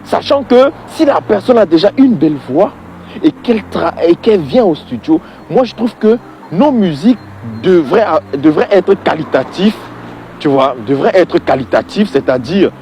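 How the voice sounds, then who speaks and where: A man's voice: fairly high pitch, muffled recording, a little hiss or hum. A man giving an informal, emphatic opinion monologue to viewers, conversational and prone to repeating his phrases for weight.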